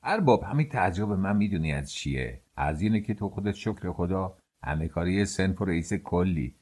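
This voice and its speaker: A man's voice: sits low, lively intonation, darkish tone, breathy edge. A man voicing an old male bakery worker over sixty, speaking with a slack, drawling Kermanshah accent and a grumbling manner.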